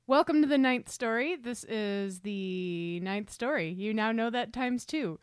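A woman's voice: on the high side, a little slow, smooth, no room sound, clean studio sound. A woman hosting a casual podcast interview in a playful, chatty way. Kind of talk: casual, joking interview chat.

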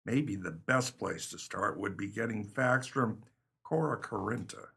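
A man playing a gruff, brisk 1930s police captain in a pulp crime radio play, with a husky voice. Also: on the low side, bright, forceful tone, heavily acted. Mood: brisk, probing.

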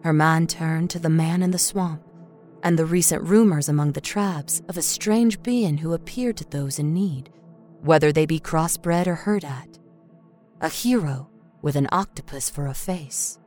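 Female storyteller, slightly low register, dramatic scripted fiction read over a light music bed. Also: unhurried, a little mellow.

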